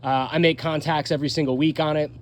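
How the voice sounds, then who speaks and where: A man's voice: on the high side, level tone, quick pace. An American man giving a casual, hands-on gear review in a conversational, matter-of-fact way, recorded in his home attic. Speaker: vlogger, radio hobbyist.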